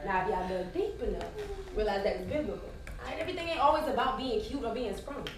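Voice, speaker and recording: A woman's voice: on the high side, expressive, fast talker, lightly acted. A woman playing a brash, loyal street-wise friend in a stage play, slangy and outspoken. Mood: boastful, insistent. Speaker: stage actor. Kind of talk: live 1990s-set stage play dialogue. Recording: distant, echoey, noisy stage recording.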